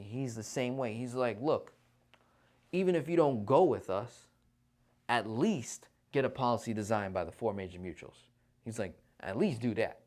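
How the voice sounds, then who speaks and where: A man's voice: on the low side, a little mellow. A man hosting a casual livestream finance Q&A, talking persuasively and often checking in with listeners. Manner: conversational, persuasive, often checks in with "right?" and "okay?". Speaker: financial Q&A host.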